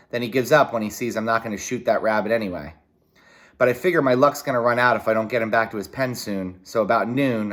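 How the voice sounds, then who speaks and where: A man reading a children's novel aloud in a folksy, expressive way, with short explanatory asides. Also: on the low side. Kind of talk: read-aloud narration with brief explanatory asides.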